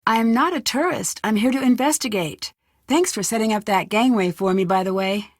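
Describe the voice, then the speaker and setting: An actress as a young woman sleuth in a video game, earnest, polite and persistent. Her voice is on the high side, with a clear, conciliatory delivery and a dry studio sound. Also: normal speed, no echo, lightly acted. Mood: friendly, conciliatory. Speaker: game protagonist.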